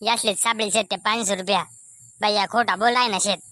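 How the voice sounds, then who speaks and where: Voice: mid-range voice, steady tone, a little fast, bright, forceful tone, no room sound, studio quality, natural voice. A performer voicing a pushy, suspicious villager in a comedy cartoon, with a Khandeshi Ahirani accent. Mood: accusing. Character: pushy, suspicious villager demanding lost money back.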